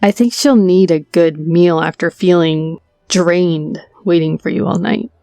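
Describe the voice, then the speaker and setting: Smooth, lowish-voiced, sly waitress played by an actress. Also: female voice, unhurried, dark tone, no echo, faint music behind. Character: chummy, efficient waitress serving a vampire, slyly knowing. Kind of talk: audio roleplay acting.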